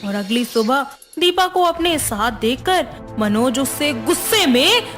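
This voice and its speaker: A woman narrating a scripted family story in an even, measured way, with soft music underneath. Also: high-pitched.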